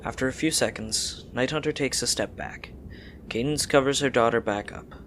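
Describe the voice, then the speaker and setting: A man's voice: medium pitch, moderate pace, a bit bright, slight background noise. A man reading scripted story narration for an audio drama.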